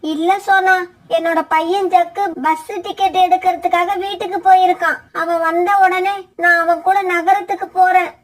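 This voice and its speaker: A woman voicing a plaintive, lamenting widowed mother crow in an animated bird fable. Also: extremely high pitch, moderate pace. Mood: trusting. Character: tearful old widowed mother crow, betrayed and remorseful.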